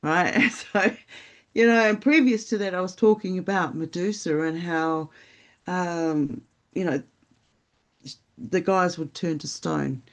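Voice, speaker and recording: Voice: medium pitch, slow, long pauses. A podcast co-host talking in a casual, rambling and reflective way, with plenty of fillers. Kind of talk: casual spiritual podcast chat.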